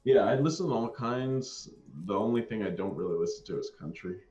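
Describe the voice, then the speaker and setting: A man's voice: fairly low pitch, lively intonation, a little fast, warm tone. A middle-aged man chatting casually in a laid-back way with short replies, in a muffled, echoey recording. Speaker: livestream co-host. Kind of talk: casual chat.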